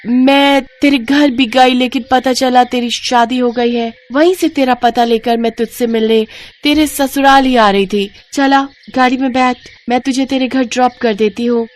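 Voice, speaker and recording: Bold young woman back from abroad, played by a narrator, high-pitched, caring and direct, for a moral story. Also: fairly even intonation, moderate pace, close to an everyday voice. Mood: caring, friendly. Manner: exclaiming, familiar and direct.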